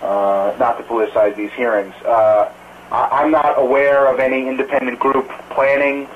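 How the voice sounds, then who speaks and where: Halting spokesman on a tinny phone line. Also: mid-range voice, little pitch movement, slight background noise. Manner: halting, careful, measured. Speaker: campaign spokesman. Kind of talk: press conference call Q&A. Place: telephone conference call.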